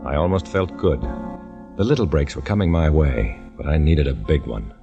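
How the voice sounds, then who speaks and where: A man's voice: very deep voice, very animated, moderate pace, lo-fi sound. An actor narrating as a tense undercover man posing as a communist, in hard-boiled 1950s radio drama style, on an old broadcast with music behind. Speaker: narrator and lead.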